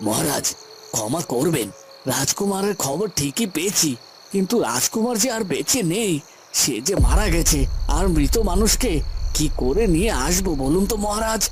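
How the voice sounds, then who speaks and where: Scheming cartoon courtier, slightly high-voiced. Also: male voice, lively intonation, background noise. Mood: grave, insistent. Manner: deferential, measured explaining to a ruler. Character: scheming courtier bringing grim news, outwardly respectful. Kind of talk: Bengali fairy-tale cartoon dramatic acting.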